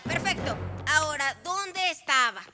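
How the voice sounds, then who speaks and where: Boy ninja villain, cartoon, vain, theatrical, high voice, slow delivery, commanding, music underneath. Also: animated. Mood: showy, self-admiring. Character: vain boy ninja villain, boastful self-styled great artist. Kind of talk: animated children's cartoon dub.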